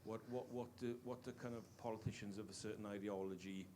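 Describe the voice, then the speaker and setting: A man with a Welsh accent, a longtime teacher, asking an earnest, halting question from the floor at a panel talk. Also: lowish voice, steady tone, warm tone, a little hiss or hum. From Wales. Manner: earnest, halting, many restarts. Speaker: audience member, teacher.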